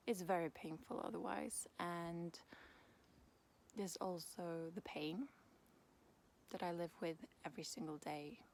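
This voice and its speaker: A Swedish woman in her twenties, a native Swedish speaker, chatting casually to camera in her home hallway, with soft, half-whispered asides. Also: on the low side, a little hiss or hum. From Sweden. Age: 20s. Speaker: vlogger. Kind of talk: casual vlog talk to camera.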